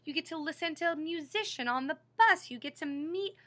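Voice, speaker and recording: A woman's voice: high voice, brisk, pushed and bright. A woman reading a picture book aloud to children like a teacher, explaining and prompting young listeners with questions. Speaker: read-aloud storyteller and teacher.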